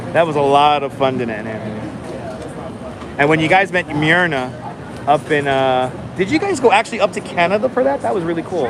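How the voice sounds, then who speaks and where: A man hosting a casual live stream, chatting calmly and enunciating clearly while he waits in line outdoors. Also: on the high side, moderate pace, long pauses, a crowd in the background. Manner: calm, enunciated, chatty with viewers. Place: outdoors on a city street waiting in line. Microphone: phone held for a live stream. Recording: noisy outdoor phone stream. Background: city traffic and street noise.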